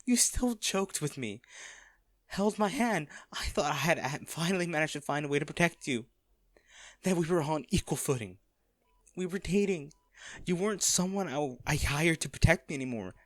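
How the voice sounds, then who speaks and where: A man acting a hardened lover pleading at a comatose partner's bedside in a romantic audio roleplay, halting, intimate and earnest. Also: on the high side, lively intonation, no room sound, natural voice. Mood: bitter, anguished.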